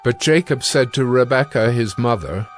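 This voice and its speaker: Mid-pitched man narrating scripture over music. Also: expressive, moderate pace. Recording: music under the voice.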